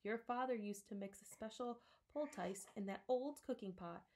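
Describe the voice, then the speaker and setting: A woman's voice: fairly high pitch, normal speed, darkish tone, natural voice. A woman reading a worried, humble old villager in a storybook, pleading deferentially for help.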